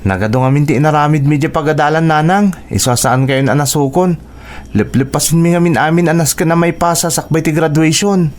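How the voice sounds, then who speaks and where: A man's voice: fairly high pitch, brisk, close to an everyday voice. A man acting a dutiful, respectful teenage schoolboy in an Ilocano radio drama, with an Ilocano accent. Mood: warm, earnest. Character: dutiful teenage schoolboy, poor and devoted to his mother.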